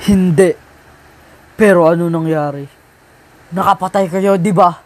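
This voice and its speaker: A man's voice: sits high, fairly even intonation, measured pace, a little mellow. A young man playing a vengeful, merciless killer who taunts and threatens, in an amateur student radio drama. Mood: cold, threatening. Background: dramatic background music.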